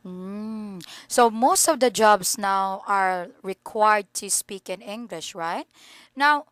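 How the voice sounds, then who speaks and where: Slow, patient woman teaching English. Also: medium pitch, fairly bright tone, no room sound. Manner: patient, asks polite questions. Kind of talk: online English lesson. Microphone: video call.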